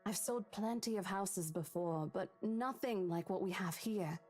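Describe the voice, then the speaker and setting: An actress playing a caring young real estate agent who talks in a reassuring, coaxing way in a horror visual novel. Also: female voice, mid-range voice, unhurried, a little mellow, faint music behind, lightly acted.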